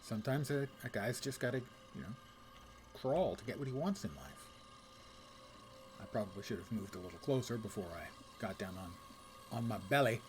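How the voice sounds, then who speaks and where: Animated man giving casual live gameplay commentary. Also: lowish voice, moderate pace, long pauses, slight background noise. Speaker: video game streamer.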